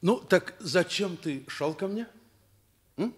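An actor playing a kindly, firm middle-aged school director in a Soviet radio drama, fatherly and gently ironic. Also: sits high, animated, slow pace, breathy edge, full character voice. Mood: sympathetic.

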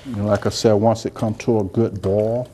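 A middle-aged man, a soft-spoken chef talking casually through a cooking demonstration in a studio kitchen. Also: fairly low pitch, level tone, moderate pace. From Georgia, US. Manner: soft-spoken, rambling and homey, talks while working. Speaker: chef and cooking show host. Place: indoor demo kitchen set.

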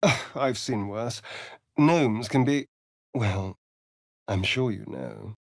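A man's voice: mid-range voice, slow, creaky, no room sound, clean studio sound. A man playing a vain, sardonic, centuries-old vampire rogue in a video game, with an arch, theatrical delivery. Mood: wry, amused. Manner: arch, flamboyant, drawling, mocking. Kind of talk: video game character acting.

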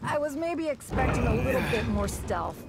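A woman's voice: sits high, expressive, moderate pace, creaky, heavy background noise. An actress playing a tough, wry female survivor in a video game, talking in a brash, joking way. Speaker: game character.

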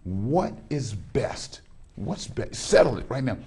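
A man's voice: mid-range voice, very animated, slow pace, vocal fry, mellow, slightly rough. A middle-aged man from Los Angeles, a pastor hosting a TV studio interview, talking in a rambling way with lots of repeated words. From Los Angeles, California. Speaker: pastor and talk show host. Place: TV studio with backdrop.

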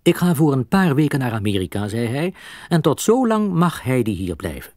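A man with a Dutch accent narrating a scripted children's audio drama in an expressive storybook manner. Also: medium pitch, normal speed, no room sound, studio quality.

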